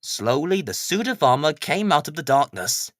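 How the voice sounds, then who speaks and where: A woman's voice: low-pitched, sing-song, moderate pace, dry recording, clean studio sound. A woman narrating a children's story from a script.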